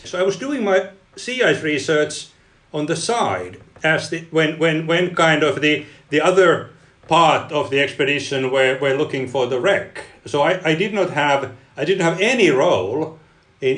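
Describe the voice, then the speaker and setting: A man whose first language is Finnish, a guest researcher answering interview questions in a halting way, often repeating words. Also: on the high side, fairly bright tone, roomy sound. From Finland. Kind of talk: podcast interview.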